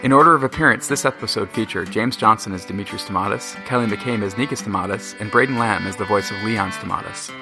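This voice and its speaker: Low-voiced man reading show credits. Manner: brisk, even promotional reading. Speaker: credits announcer. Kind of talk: read end credits. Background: plucked guitar music.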